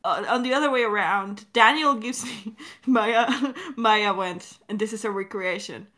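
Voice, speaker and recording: A woman's voice: mid-range voice, measured pace, a bit bright. A woman co-hosting a creator Q&A, chatting casually in a giggly way and swearing freely. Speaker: podcast creator and co-host.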